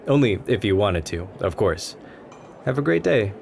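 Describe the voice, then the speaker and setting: Man playing a shy adorkable guy, deep gravelly voice, gentle nervous rambling, asmr roleplay. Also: very animated, normal speed. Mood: sweet, apologetic. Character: shy, anxious, adorkable young stranger in a coffee shop. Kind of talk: ASMR roleplay, soft conversational monologue. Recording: background ambience noise. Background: coffee shop ambience.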